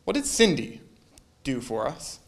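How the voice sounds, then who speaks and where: A man's voice: mid-range voice, very animated, unhurried, vocal fry. A man giving a casual sermon to a congregation, joking and quizzing his listeners. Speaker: minister.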